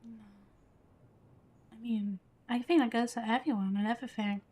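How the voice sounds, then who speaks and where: Higher-pitched woman streaming a game. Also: steady tone, normal speed, a little mellow. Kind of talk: casual live reaction commentary over a story game.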